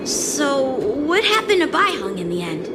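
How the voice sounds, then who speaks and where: A woman's voice: high-pitched, sing-song, unhurried, very noisy. A woman playing an eager, candid teenage boy knight in a video game, chatty and boyish. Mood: curious. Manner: bright-eyed, chatty, boyish.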